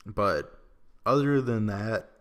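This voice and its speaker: A young man with a Midwestern accent answering questions in a relaxed, rambling vlog, with lots of ums and likes, in an echoey room. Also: lowish voice, slow. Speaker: YouTuber. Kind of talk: casual Q&A vlog.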